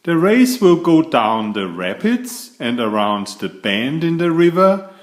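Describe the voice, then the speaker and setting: A man's voice: a little high, big pitch swings, unhurried, voice pushed up. A man voicing a commanding adult bear preacher who announces things in a storybook. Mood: announcing. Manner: announcing, authoritative. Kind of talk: storybook character voice.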